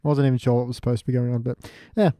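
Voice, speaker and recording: Mellow soft-edged guy on a podcast, wry casual comments, dry recording with no echo. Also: medium pitch, flat delivery, rapid-fire. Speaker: podcast guest. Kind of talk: casual podcast review chat.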